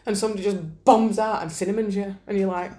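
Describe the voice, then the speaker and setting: Woman, Northern English accent, average pitch, casual podcast banter, playful, colloquial. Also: steady tone, quick pace, mellow. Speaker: podcast co-host.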